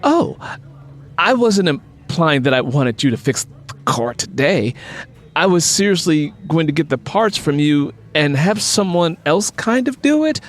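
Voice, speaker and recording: Man playing a sassy, husky-voiced city woman. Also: on the high side, voice pushed up. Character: proud, sharp-tongued, easily irritated city woman.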